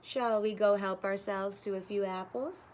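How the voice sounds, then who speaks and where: A woman's voice: medium pitch, fairly even intonation, moderate pace, natural voice. A woman voicing a sly, blustering male wolf from a fairy tale, theatrical and coaxing, drawing out words. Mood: smooth, coaxing.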